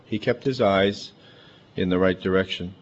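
A man's voice: low-pitched, moderate pace, slightly rough. A man with a big, emphatic voice, preaching a chatty, conversational sermon full of asides. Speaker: pastor. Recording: dull lo-fi recording.

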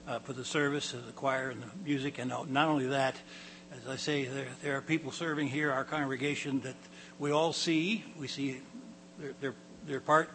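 An older man with a raspy voice, a pastor giving a halting sermon to his congregation, often clearing his throat and repeating words. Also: medium pitch, fairly even intonation, fairly bright tone, slight background noise. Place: church hall.

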